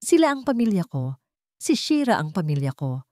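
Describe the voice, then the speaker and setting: Woman acting defiant, anguished rich son, very animated. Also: mid-range voice, normal speed, no room sound, clean studio sound, lightly acted. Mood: defiant, impassioned. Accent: Filipino. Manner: impassioned, emphatic declarations. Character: defiant, anguished adult son, wealthy chief executive.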